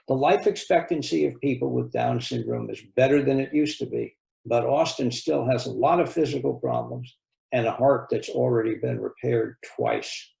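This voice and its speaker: A man's voice: medium pitch, gravelly, warm tone, echoey room, rough recording. A man reading a novel aloud as a volunteer story reader, in scripted first-person narration.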